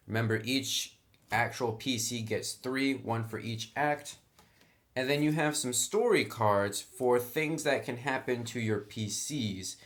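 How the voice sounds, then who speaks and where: A man hosting an informal review, explaining things step by step in a conversational, explanatory way. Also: medium pitch. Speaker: game reviewer, host. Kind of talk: informal product review commentary.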